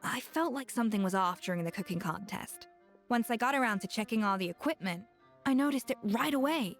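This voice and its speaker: A brilliant, exacting female chef from a video game. Her voice sits fairly high, and she talks bluntly, correcting and teasing in a matter-of-fact way. Soft music plays underneath. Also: expressive, brisk.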